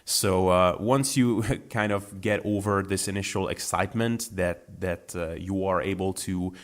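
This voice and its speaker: A man who is a guest on a podcast, talking about his own experience in a relaxed, chatty way with fillers and restarts. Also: low-pitched, unhurried. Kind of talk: casual interview talk.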